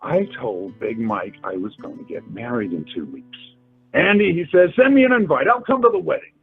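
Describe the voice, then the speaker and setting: Radio play suitor, male actor: young, earnest, working-class, emphatic, slightly high husky voice, muffled recording. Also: lively intonation, normal speed, heavily acted. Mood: awed, boastful. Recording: muffled lo-fi audio with music behind.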